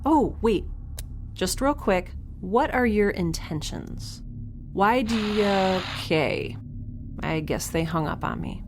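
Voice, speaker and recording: A woman's voice: mid-range voice, big pitch swings, takes it slowly. A woman playing a wry, flustered young woman alone on a spaceship, in a casual, hesitant, sarcastic audio drama style. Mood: wry, bluffing. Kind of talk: naturalistic sci-fi audio drama acting. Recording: faint ship ambience noise.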